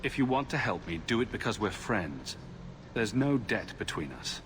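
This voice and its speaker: An actor playing a loyal, plain-spoken adventurer hero in a video game. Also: male voice, lowish voice, big pitch swings, moderate pace, a bit bright, slight background noise. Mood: supportive. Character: loyal, frank adventurer hero, supportive friend.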